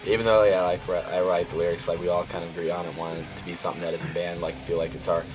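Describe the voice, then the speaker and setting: Deep-voiced young man telling a story. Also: flat delivery, racing, over music. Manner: rambling, full of fillers. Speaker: band vocalist. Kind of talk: casual interview.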